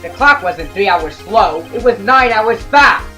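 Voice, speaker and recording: An actor playing an earnest, excitable young male defense lawyer with dramatic emphasis in a video game fandub. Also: medium pitch, a little slow. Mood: triumphant, emphatic. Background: game music.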